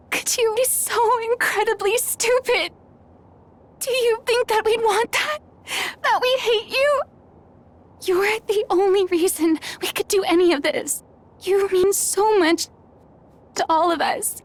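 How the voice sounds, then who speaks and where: A woman voicing a caring, impassioned girl, a loyal best friend, in an earnest and emotional video game cutscene. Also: very high voice. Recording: background music under the voice.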